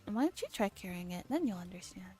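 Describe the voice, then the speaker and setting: A woman's voice: fairly low pitch, big pitch swings, fast, mellow, soft background music, voice pushed down. A woman voicing a pompous, theatrical young man who bosses his lab around in a visual novel. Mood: defensive, smug.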